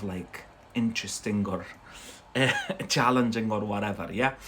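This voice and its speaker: A man's voice: medium pitch, fairly bright tone. Algerian guy, bit of scottish in his accent, rambly livestream teacher, lots of ums and yeahs, slight hiss behind. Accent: Algerian, with a Scottish influence. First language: not English. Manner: chatty, rambling, with many fillers and tag questions. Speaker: English teacher and livestream host. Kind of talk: casual livestream lesson.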